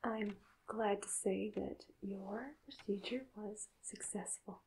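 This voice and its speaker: A woman speaking in a soft, breathy whisper, slow and soothing, as a calm doctor in an ASMR roleplay. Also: mid-range voice, a little hiss or hum, poor audio. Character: calm hypnotist doctor addressing a robot patient.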